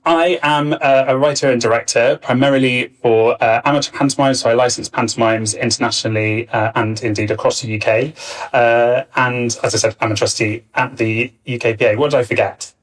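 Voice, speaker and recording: Male panel chair, thirty, mid-pitched voice, moderating live, picked up from a distance. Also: fairly even intonation, fairly quick. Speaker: panel chair, writer and director. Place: arts centre theatre, live panel. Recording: distant, varying-quality backup live recording.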